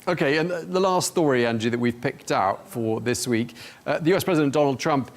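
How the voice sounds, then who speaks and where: Male anchor, mid-range voice, even and conversational. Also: mid-range voice, brisk. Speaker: news anchor. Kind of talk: interview questions and story intros.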